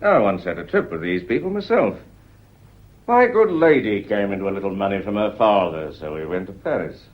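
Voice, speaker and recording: A man acting in a British radio play as a polite, quietly persistent police inspector, measured and unflappable, with a British accent. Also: fairly low pitch, sing-song. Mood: courteous, casual. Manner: deferential, measured, unflappable, constantly courteous. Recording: dull lo-fi radio recording.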